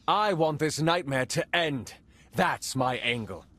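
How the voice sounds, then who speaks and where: An actor playing a desperate, guilt-ridden spy in a video game, with a husky voice. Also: male voice, fairly high pitch, expressive, takes it slowly, a bit bright, exaggerated voice. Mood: tense, frustrated. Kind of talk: video game cinematic acting.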